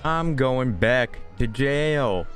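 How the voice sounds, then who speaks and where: A man with a gravelly voice giving laconic, playful, muttered commentary as he plays a story game. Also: medium pitch, animated, drawn-out words, faint music behind. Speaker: streamer playing a story game. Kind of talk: casual gameplay commentary, reading replies aloud.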